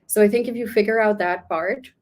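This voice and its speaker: Woman from Pakistan, art teacher guest; thoughtful, rambling interview talk at an ordinary pitch. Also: fairly quick. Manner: reflective, talkative, many "like" fillers. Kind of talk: casual interview answers.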